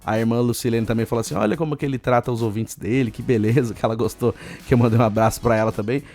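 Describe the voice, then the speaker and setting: A man with a Brazilian accent hosting a casual solo podcast, hoarse and chatty, giving listeners shout-outs. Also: mid-range voice, fairly even intonation, very fast. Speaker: podcast host.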